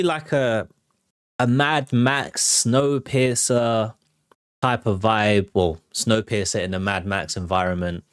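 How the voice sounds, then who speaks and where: A man's voice: on the low side, clean tone, dry recording, studio quality. A man hosting a film show, giving casual, off-the-cuff commentary on trailers. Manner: informal, off-the-cuff.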